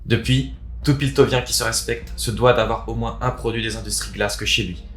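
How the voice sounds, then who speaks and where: A man's voice: lowish voice, fairly even intonation, moderate pace. A man presenting a video, talking and reading aloud as its host. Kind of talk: casual video introduction, then expressive reading of a written story. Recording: roomy echo, music underneath. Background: background music.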